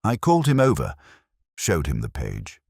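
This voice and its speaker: A man narrates a first-person detective tale in an extremely deep voice. His delivery is measured and literary, with a reflective manner and lively intonation. The sound is dry, free of room echo.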